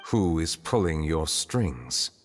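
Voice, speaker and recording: An actor playing a grave, composed chief judge in a video game, speaking in a formal, measured, stern manner. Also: male voice, rumbling low, very animated, big-room sound, faint music behind, heavily acted. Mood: stern, controlled.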